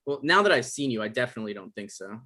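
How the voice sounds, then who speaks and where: A man's voice: mid-range voice, big pitch swings, very fast, sharp tone. An actor playing an awkward, evasive novelist in his thirties, stammering through naturalistic comedic dialogue in a table read. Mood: sheepish. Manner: hesitant, stammering. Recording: online live-stream table read.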